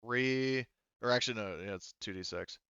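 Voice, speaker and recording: A man's voice: medium pitch, moderate pace, muffled recording, background noise. A man acting as game master, casually narrating and refereeing a tabletop roleplaying game, thinking aloud and often correcting himself.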